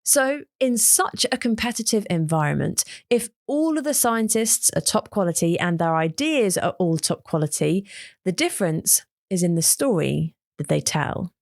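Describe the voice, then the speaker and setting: Podcast narrator, female, lively and varied intonation, recorded dry. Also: on the low side, normal speed, gravelly, no echo. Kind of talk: scripted narration.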